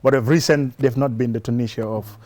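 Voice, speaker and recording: A man with a Gambian accent talking through sports matters in an explanatory, measured, conversational way, as a journalist on a talk show. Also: mid-range voice, fairly even intonation, darkish tone.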